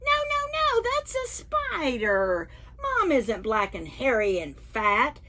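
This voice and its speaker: A woman's voice: a little high, huge pitch swings, takes it slowly, bright, forceful tone, full character voice. A woman voicing an anxious, indignant little monkey child in a picture-book read-aloud for preschoolers. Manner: indignant, emphatic protests.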